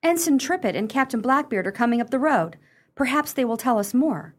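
Woman playing a lively, high-voiced wry sister. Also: brisk. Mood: observant. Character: watchful, wry sister of a romantic heroine. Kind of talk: comic period radio play acting.

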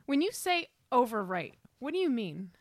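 Woman co-host with a tired voice, slightly high. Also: big pitch swings, moderate pace, no room sound, clean studio sound. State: losing her voice. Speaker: podcast co-host. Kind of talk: casual interview chat.

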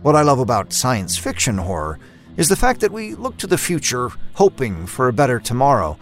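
A man hosting a horror fiction podcast, reading a scripted introduction with a theatrical, dramatic delivery. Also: mid-range voice. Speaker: podcast host. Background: music under the voice.

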